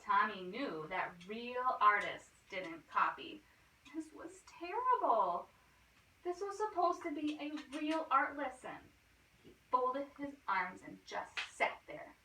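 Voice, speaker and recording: A woman's voice: sits high, sing-song, slow pace, bright, forceful tone. A woman schoolteacher reading a picture book aloud expressively and prompting with questions in a teacherly way. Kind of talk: storybook read-aloud with questions to a child. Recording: roomy, distant microphone, faint noise.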